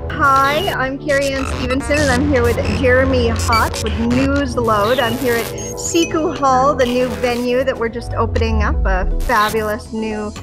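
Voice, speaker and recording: A woman's voice: high-pitched, fairly even intonation, normal speed, hardly any pauses, rough recording. A woman from Canada, a chatty and upbeat interview host, speaking inside a renovated church music venue. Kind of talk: casual interview.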